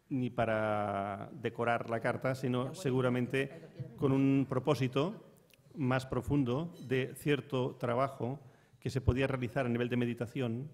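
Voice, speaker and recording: A middle-aged man giving a measured, explanatory lecture at a panel table in a large conference hall. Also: medium pitch, a little mellow. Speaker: conference speaker on tarot. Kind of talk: lecture closing and Q&A answers.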